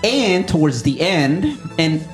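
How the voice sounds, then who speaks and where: A man's voice: a little high, sing-song, slow, faint music behind. A man co-hosting a live church talk show, speaking casually with lots of fillers and restarts while greeting the audience. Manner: rambling, filler-heavy, chatty.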